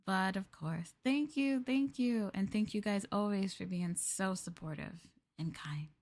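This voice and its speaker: Soft-spoken American woman, maid roleplay. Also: medium pitch, takes it slowly. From United States. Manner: soft-spoken, calls listeners master, speaks of herself as the maid. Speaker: streamer. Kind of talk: ASMR maid roleplay stream chat. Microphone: close ASMR microphone.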